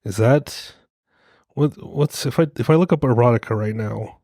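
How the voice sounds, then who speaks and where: A man's voice: medium pitch, normal speed, mellow, dry recording, clean studio sound. A man co-hosting a podcast, rambling in a joking, self-mocking way, a little congested from a cold. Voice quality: soothing voice. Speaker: podcast co-host. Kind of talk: casual rambling monologue.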